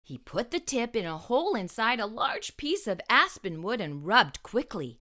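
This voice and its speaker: A woman reading a children's picture book aloud as an expressive narrator. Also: on the high side, moderate pace. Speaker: children's story reader.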